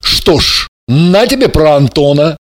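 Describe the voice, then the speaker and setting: A man's voice: fairly high pitch, animated, unhurried, no echo, studio quality. A man voicing a cocky, condescending young man with a smug drawl, in an audiobook.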